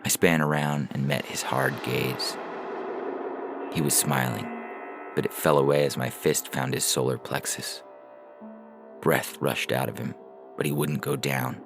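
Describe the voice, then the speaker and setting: A man reads a first-person story in an extremely low voice with heavy creak. Music plays clearly behind his scripted narration. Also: fairly even intonation, a little slow, pauses often. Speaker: fiction podcast reader and announcer.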